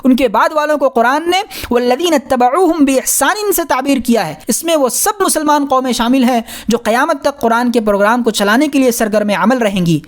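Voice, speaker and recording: A woman reading a scholarly religious audiobook in a measured, formal manner. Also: sits high. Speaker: audiobook reader. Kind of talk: scripted narration of a scholarly religious book.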